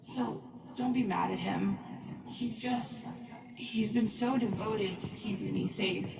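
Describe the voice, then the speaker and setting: A calmly menacing woman from a horror game, soothing someone as doom approaches. Her voice is creaky and sits away from the mic, under heavy noise. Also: mid-range voice, recorded from a distance. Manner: slow, soothing, ominous. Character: soothing, ominous woman calmly condoning a coming death. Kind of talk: dramatic horror game acting.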